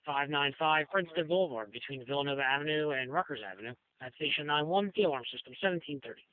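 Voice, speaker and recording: A tinny, narrowband radio transmission carries a man's fairly high voice. He is a fire dispatcher making a formal announcement in clipped, formulaic phrases. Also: quick pace.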